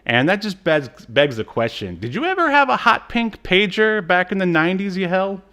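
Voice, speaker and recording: A man co-hosting a podcast, recapping in a casual, halting, conversational way that trails off. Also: high voice, sing-song, pushed and bright. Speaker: podcast co-host. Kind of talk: casual chat, recapping a TV episode.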